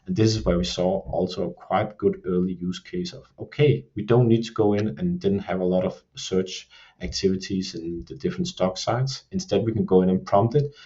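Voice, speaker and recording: A Danish man with a Danish accent, explaining production work in a measured podcast discussion and often saying "you can say". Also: low voice, fairly even intonation, dark tone, lo-fi sound. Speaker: creative production lead.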